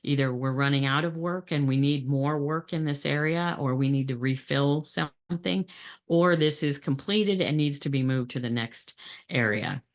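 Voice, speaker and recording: Halting, plain-spoken woman explaining. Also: sits low. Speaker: supply chain trainer. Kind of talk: explanatory training presentation.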